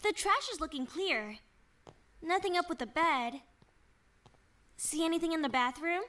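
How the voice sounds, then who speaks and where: A woman voice-acting an earnest, anxious young female investigator in an animated mystery, with an expressive delivery. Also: very high-pitched, a little slow, a little hiss or hum, full character voice. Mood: businesslike.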